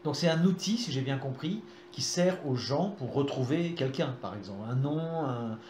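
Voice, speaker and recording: A man's voice: medium pitch, animated, moderate pace, a little mellow. Male interviewer in an echoey room. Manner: polite, checks understanding by rephrasing. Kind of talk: casual interview. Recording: roomy echo, faint background noise.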